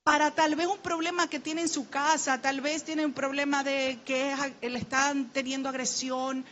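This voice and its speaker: A woman's voice: high voice, little pitch movement, moderate pace, a bit bright, muffled recording, a little hiss or hum. A middle-aged Dominican woman with a Dominican Spanish accent, speaking on a panel, sharing a personal story in an earnest, heartfelt way and urging the listeners to act. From Dominican Republic. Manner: earnest, heartfelt, grows urgent and exhorting. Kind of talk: personal testimony in a panel talk.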